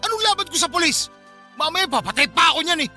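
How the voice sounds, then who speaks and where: Give the part of a cold young husband with a high-pitched, forceful voice. He is resentful and accusing toward his wife, in Filipino radio drama style over faint music. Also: expressive, fast, full character voice. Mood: bitter, regretful. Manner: scornful, accusing.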